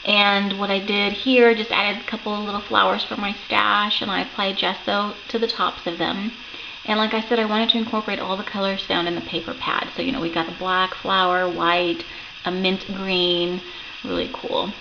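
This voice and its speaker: Croaky woman chatting through a craft project. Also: medium pitch, flat delivery, moderate pace. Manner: chatty, rambling, lots of ums and self-corrections. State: croaky from a sore throat. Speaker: crafting channel host. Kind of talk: casual craft show-and-tell. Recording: dull lo-fi home recording with noticeable background hiss.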